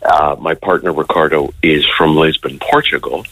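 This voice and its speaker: A man's voice: a bass voice, fairly even intonation, normal speed, fairly bright tone, husky, dry recording, poor audio. A middle-aged American man from Connecticut, a guest on a phone-in radio interview, talking hesitantly with many ums and restarts. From Connecticut, United States. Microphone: phone line.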